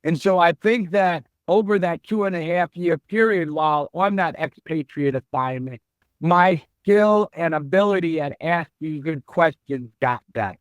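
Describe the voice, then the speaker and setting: A middle-aged man, a chief executive, answering interview questions in a slow, measured and reflective way. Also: fairly high pitch, smooth, dry recording. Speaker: CEO guest.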